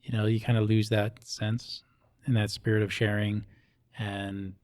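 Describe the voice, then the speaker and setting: A man's voice: lowish voice, level tone, measured pace, a little mellow. A middle-aged American man from Hawaii hosting a casual interview in a laid-back, colloquial way with joking asides. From Hawaii, United States. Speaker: interview host.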